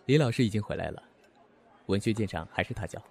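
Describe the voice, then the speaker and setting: A man playing a polite, composed young teacher in an amateur audio drama, gently probing as he talks. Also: lowish voice, animated, fast, creaky, husky, exaggerated voice. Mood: composed, friendly.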